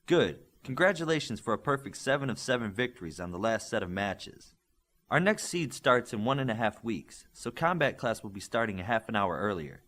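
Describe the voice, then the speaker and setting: A man voicing a brisk, authoritative male combat coach in an audiobook, to the point. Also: on the low side, sing-song, a bit bright. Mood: businesslike.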